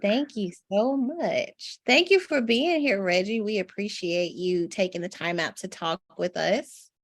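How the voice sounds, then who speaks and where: A woman's voice: medium pitch, lively intonation, a little slow. A woman conducting a podcast interview in a conversational way.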